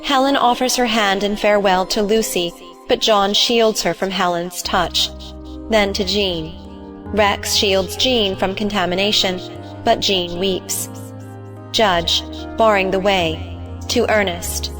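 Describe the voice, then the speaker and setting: A woman's voice: mid-range voice, fairly even intonation, slow pace, over music. A woman giving scripted narration, reading out the stage directions of a play.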